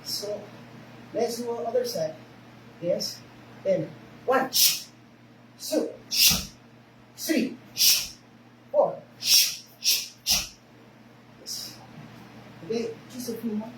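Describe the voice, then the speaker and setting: Spanish-accented woman coaching karate, slow and kinda high, echoey hall, mic far away, noisy. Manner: short broken phrases, often checks in with okay. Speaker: karate instructor. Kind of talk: teaching a class.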